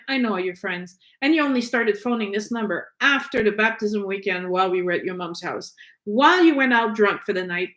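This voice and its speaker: A woman's voice: fairly high pitch, a bit bright. A woman whose first language is French, hosting a podcast and candidly recounting her own experiences in a conversational way. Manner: candid, conversational, frequent fillers and restarts. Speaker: podcast host. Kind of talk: personal storytelling.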